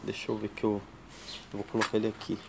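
A man from Brazil hosting a casual tool vlog at his workshop bench, slowly counting wrench sizes and chatting to viewers. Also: lowish voice, completely flat delivery, gravelly, very noisy. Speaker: tool channel host. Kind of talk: casual show-and-tell vlog.

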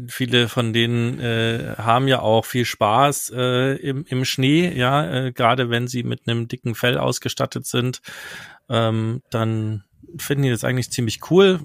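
A man in his thirties co-hosting a podcast, giving practical advice in a relaxed way with many fillers. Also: mid-range voice, vocal fry, no room sound, studio quality. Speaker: podcast co-host. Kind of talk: casual advice chat. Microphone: headset and studio mic.

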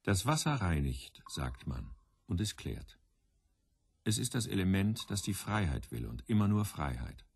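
A man playing a reflective storyteller in a radio play, with contemplative, deliberate phrasing. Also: low-pitched, sing-song, slightly rough, no room sound, studio quality, full character voice. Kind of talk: literary radio play narration.

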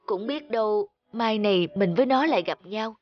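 A woman playing a compassionate young village mother in a cartoon, earnest, expressive and pleading. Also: fairly high pitch, normal speed, dull audio, natural voice. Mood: tearful pleading. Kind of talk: cartoon voice acting.

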